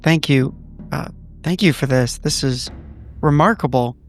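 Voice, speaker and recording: A man's voice: mid-range voice, measured pace, soft background music, close to an everyday voice. A man playing a polite, guarded investigator in a tabletop horror game, speaking with some hesitation. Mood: polite, curious.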